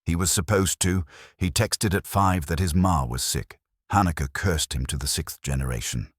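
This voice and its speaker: A man's voice: low voice, moderate pace, slightly rough, no room sound, studio quality. A man voicing a weary, sardonic young woman apprentice baker, curt and guarded, in an audiobook mystery. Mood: wry.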